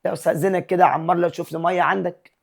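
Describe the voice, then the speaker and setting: A man with an Egyptian Arabic accent teaches physics, reading questions out slowly and solving them step by step. His voice sits high for a man, and he switches between Arabic and English terms as he works. Now and then he clears his throat. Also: fairly even intonation. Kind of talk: solving exam problems step by step.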